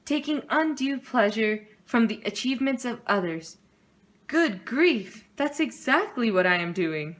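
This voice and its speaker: A fond, supportive papa bear out of a children's storybook, read by a woman. The voice is fairly high and unhurried, with an earnest, encouraging way of speaking. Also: animated. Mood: rueful.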